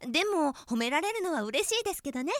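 A woman's voice: high-pitched, sing-song, fast, no echo, studio quality. A woman playing an earnest, cheeky female office employee with a perky, polite manner in a comic radio drama. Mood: perky, sly. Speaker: voice actor.

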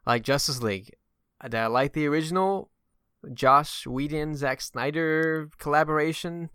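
Bright, clear-voiced man talking movies. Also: fairly high pitch, measured pace, dry recording, studio quality. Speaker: film podcast host. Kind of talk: casual film discussion.

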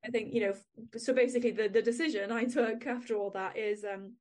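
A woman's voice: on the high side, steady tone, a little fast, darkish tone. A young adult woman with a Welsh accent, talking candidly and chattily as a podcast co-host, laughing often. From Wales. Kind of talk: casual chat.

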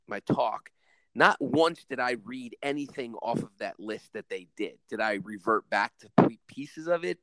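A man's voice: medium pitch, fairly bright tone, no echo, low-quality recording. Man, commanding voice, earnest rambling podcast talk, dry close sound. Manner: rambling, earnest, many restarts. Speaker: podcast co-host, school teacher. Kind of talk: casual podcast chat.

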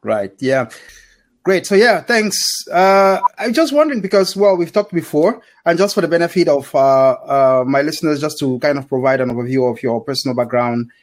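A man's voice: on the high side, lively intonation, moderate pace. A man hosting a podcast for entrepreneurs, reading his guest's introduction and then asking questions, with frequent filler sounds. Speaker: podcast host.

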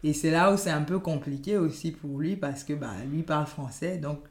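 Podcast guest: woman from Benin, first language Yoruba, dark mellow tone, reflective and halting, echoey room. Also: low-pitched. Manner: hesitant, many fillers and restarts, thoughtful. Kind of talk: conversational interview.

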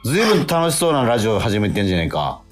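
A man co-hosting a radio show in casual chat, teasing and mock-grumbling in blunt, rough speech. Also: lowish voice, very animated, racing, a crowd in the background.

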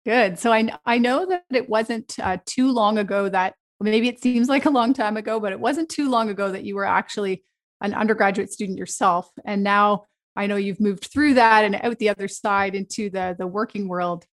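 A woman's voice: mid-range voice, fairly even intonation, moderate pace. A woman hosting an interview podcast, reading a scripted intro and then chatting with frequent restarts. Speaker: podcast host.

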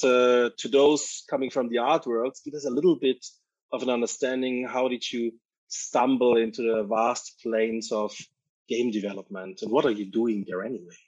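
A man's voice: medium pitch, no room sound. Austrian guy hosting a podcast, jokey and theatrical, dry dull sound. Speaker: podcast host. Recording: slightly dull with music bed.

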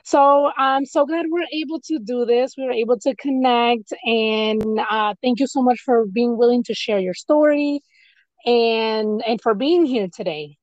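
A woman's voice: on the high side, a little slow, a little mellow, no room sound, muffled recording. A woman with an American accent hosting a podcast, speaking in an informal, conversational way. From United States. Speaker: podcast host. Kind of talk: casual interview chat.

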